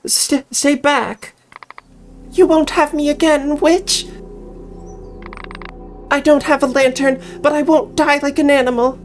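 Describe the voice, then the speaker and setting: A woman's voice: high-pitched, steady tone, takes it slowly, long pauses, voice pushed up. A woman voicing a terrified, furious young village woman in a visual novel, crying out with desperate defiance. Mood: frightened defiance. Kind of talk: visual novel character acting. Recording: music behind voice.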